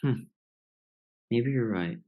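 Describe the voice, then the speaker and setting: A man's voice: medium pitch, lively intonation, slow pace, highs cut off. A man playing a naive, hopeful mouse friend in an audio drama, speaking plainly and a little hesitantly. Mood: doubtful, hopeful.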